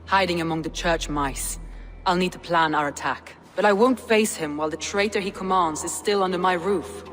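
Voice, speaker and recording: A calm, measured Viking leader. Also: male voice, sits high, big-room sound, soft background music. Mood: composed. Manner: measured, thoughtful, composed.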